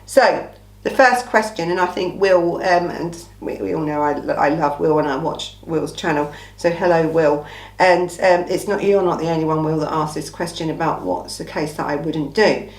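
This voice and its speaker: Croaky, low-voiced chatty woman from England. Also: moderate pace, reverberant, recorded from a distance, low-quality recording. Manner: chatty, blunt, opinionated, says "listen" often. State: croaky from a cold. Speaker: true crime channel host. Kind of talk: casual Q&A monologue.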